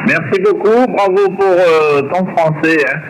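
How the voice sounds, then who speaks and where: Guy on shortwave radio, lots of static noise, voice a bit high, says everything twice. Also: measured pace. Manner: repeats each detail. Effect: shortwave radio transmission. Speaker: amateur radio operator. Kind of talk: radio contact report with phonetic spelling. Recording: noisy shortwave radio reception over speaker.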